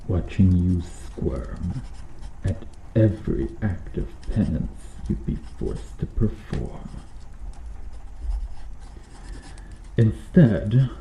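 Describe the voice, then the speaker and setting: A man acting a deep, dark-voiced cruel knight. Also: creaky, reverberant, slight background noise, exaggerated voice, rough recording. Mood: scornful, brooding. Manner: brooding, menacing, deliberate delivery with dropping hushed phrases. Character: cruel, bitter medieval knight interrogating a cursed witch. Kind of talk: slow ASMR roleplay acting.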